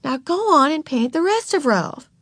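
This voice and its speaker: A bossy schoolgirl big sister from a storybook, played by a woman narrator, with a raised pitch. She corrects and scolds in an exasperated, ordering way. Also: big pitch swings, moderate pace, a bit bright, dry recording, clean studio sound, heavily acted. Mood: correcting.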